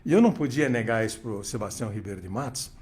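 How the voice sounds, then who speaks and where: Older man telling lively stories. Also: lowish voice, fast. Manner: animated anecdotes, many restarts and quoted dialogue. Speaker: interview guest, radio veteran. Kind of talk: casual storytelling interview.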